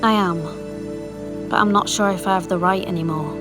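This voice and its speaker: A woman's voice: medium pitch, fairly even intonation, brisk, lightly acted. A woman with a British accent playing a wistful, proud mother who confides her memories in an audio drama monologue. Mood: tender, regretful. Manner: confiding, colloquial storytelling. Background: music.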